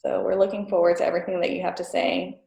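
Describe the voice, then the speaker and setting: A woman's voice: fairly low pitch, little pitch movement, fast talker, gravelly, mellow, echoey room. A woman moderating a student panel on a video call, friendly and hesitant with fillers.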